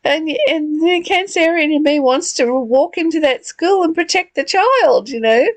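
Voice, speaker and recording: A woman's voice: sits high, moderate pace, no echo. A woman leading a reflective spiritual discussion, earnest and given to rhetorical questions and repeated phrases. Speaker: study group leader.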